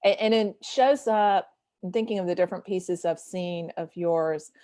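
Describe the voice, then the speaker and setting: A woman's voice: fairly low pitch, normal speed, dark tone, dry recording, clean studio sound. A woman interviewing an artist, attentive and affirming as she asks her questions. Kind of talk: casual interview.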